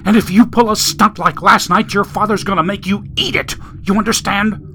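A man voicing a vicious, domineering mother in an audiobook, hissing and shrill with threats. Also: steady tone, normal speed, lightly acted. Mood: hissing fury. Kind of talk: audiobook character voice.

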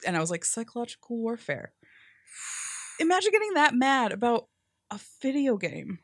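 A young woman with a fairly deep voice, co-hosting a podcast and telling stories in a casual, animated way with many "like"s. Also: moderate pace, no room sound, studio quality. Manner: animated storyteller, says "like" often. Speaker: podcast co-host. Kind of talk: casual chat.

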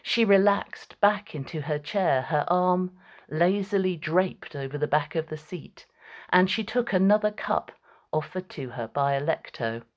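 A woman's voice: lowish voice, lively intonation, measured pace, highs cut off. A woman narrator reading a scripted, dramatic story.

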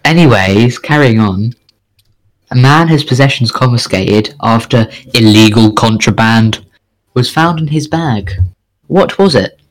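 A man's voice: mid-range voice, lively intonation, unhurried, low-quality recording. A man co-hosting a casual podcast, reading odd news as quiz questions in a joking, bingo-host manner.

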